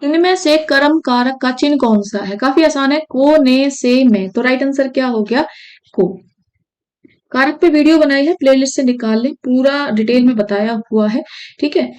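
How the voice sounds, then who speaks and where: A woman's voice: sits high, normal speed, a little mellow. A woman from India teaching an exam-prep grammar lesson. She repeats key phrases and keeps checking in with her students.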